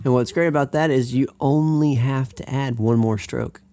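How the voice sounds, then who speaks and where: A man's voice: medium pitch, moderate pace, mellow, clean tone. An American man teaching a live guitar lesson, talking in an upbeat, chatty way that wanders and restarts.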